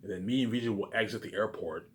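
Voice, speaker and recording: Fast-talking man rambling casually over background noise. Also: on the low side. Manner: repetitive, emphatic, swearing, frequent tag questions.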